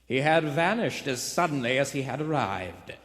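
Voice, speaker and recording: A man's voice: medium pitch, expressive, moderate pace, fairly bright tone, close to an everyday voice. A man playing the dramatic narrator of a suspense radio play, theatrical in manner. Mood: somber. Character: dramatic storyteller of a suspense thriller. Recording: live theater hall with music.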